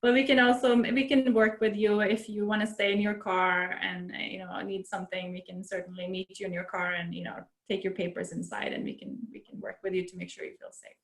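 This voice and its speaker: A woman consular officer answers questions in a relaxed, helpful way, pitched at a middle level. Her voice sits in a noticeably echoey room and comes through a video call. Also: brisk, few pauses. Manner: relaxed, helpful, uses fillers. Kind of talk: webinar Q&A answers.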